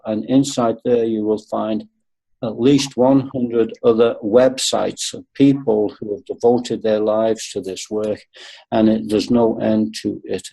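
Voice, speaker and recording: Sixty-something guy on a webinar call, husky mellow voice, keeps saying things twice to drive it home. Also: fairly low pitch, low-quality recording. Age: 60s. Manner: earnest, emphatic, repeats himself for stress. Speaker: guest. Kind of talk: webinar conversation. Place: video call.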